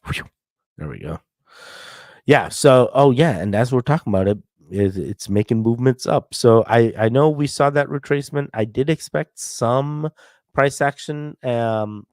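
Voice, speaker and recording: A man's voice: medium pitch, unhurried, a little mellow, dry recording, studio quality. A man hosting a casual livestream, chatting to viewers about price charts while congested from a cold. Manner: chatty, talks straight to viewers and chat. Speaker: crypto livestream host. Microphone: headphones with mic.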